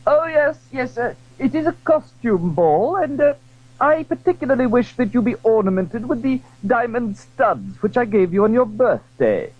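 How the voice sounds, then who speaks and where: A man's voice: extremely high pitch, sing-song, moderate pace, heavily acted. A man playing a suspicious, jealous, imperious King in an audio drama, clipped and demanding. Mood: curt, pointed. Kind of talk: theatrical audio drama acting. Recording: dull, dry 1980s audio drama recording.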